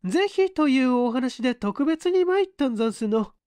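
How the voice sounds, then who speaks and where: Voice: high-pitched, lively intonation, normal speed, a little mellow, clean tone, no room sound, studio quality, voice pushed up. A narrator voicing a haughty middle-aged woman dressmaker who speaks in an affected, fussy, polite way. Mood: huffy, haughty.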